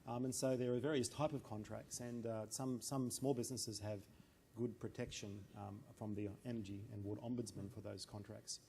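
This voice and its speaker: A man on a business panel, answering in a halting way with many ums and restarts. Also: lowish voice, fairly even intonation, vocal fry, mellow, slight background noise. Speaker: panelist, business energy adviser. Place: conference room.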